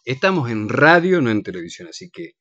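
A man's voice: mid-range voice, very animated, a little fast, fairly bright tone, no room sound, muffled recording. An Argentine man hosting a radio show with casual, teasing banter. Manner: jokey, teasing. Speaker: radio host and director. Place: radio studio.

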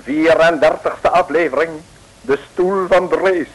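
A man's voice: a little high, a little slow, low-quality recording. A man from the Netherlands narrating a vintage comic radio serial in Dutch, scripted, with wry mock-dramatic storytelling. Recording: vintage radio recording, music behind. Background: music.

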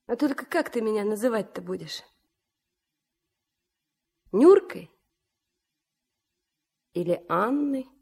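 An actress playing a lively, teasing young village girl, a student who plays accordion, in a 1970s Soviet radio drama. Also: fairly high pitch, takes it slowly, creaky, pauses often, husky, heavily acted. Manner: teasing, bold, chatty questioning. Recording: 1970s mono radio play recording.

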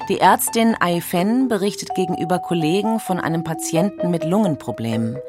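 A woman with a low voice who reads out dates and events crisply and plainly. Music plays clearly underneath her voice. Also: expressive, brisk. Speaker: narrator. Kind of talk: scripted chronology reading. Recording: voice read over music.

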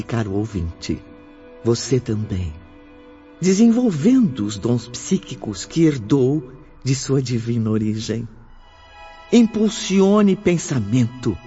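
A man's voice: medium pitch, mellow. A man with a Brazilian accent narrating a scripted radio drama in a solemn, declamatory way. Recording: dull lo-fi recording, faint music.

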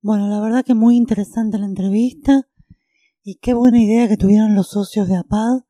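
A woman hosts a radio show conversationally. Her voice is very dark and soft-edged at a middle pitch, recorded close and dry. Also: steady tone, normal speed, no room sound. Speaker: radio host.

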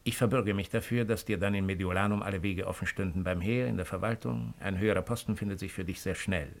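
An actor playing a cold, calculating Roman imperial agent in a radio drama, speaking in a measured, insinuating way. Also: male voice, low voice, fairly even intonation, creaky. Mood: cool, self-assured. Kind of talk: 1950s German radio drama acting.

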